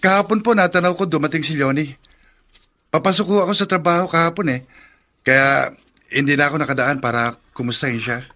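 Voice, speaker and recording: Casting: an eager, respectful young Filipino man next door, bright tenor-leaning voice, radio soap. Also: fairly high pitch, a little fast. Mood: cheerful, polite. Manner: courteous, respectful. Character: polite, friendly young male neighbor, eager admirer. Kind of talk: Filipino radio drama acting.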